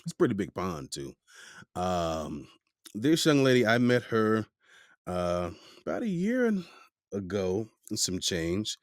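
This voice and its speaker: A man's voice: lowish voice, measured pace, no room sound. A man hosts his podcast with a loose, joking manner, his voice swinging widely up and down as he talks. The sound is dry and close, with no room around it. He chats with a guest over a remote video call. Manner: playful, animated, joking asides. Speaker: podcast host. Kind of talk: casual interview chat.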